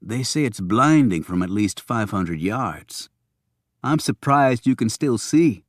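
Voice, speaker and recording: A man's voice: fairly low pitch, animated, slow pace. A wisecracking male agent from a thriller audiobook, put on by a male narrator. He needles his colleague with jokes. The sound is dry, with no echo. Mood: teasing. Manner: teasing, joking.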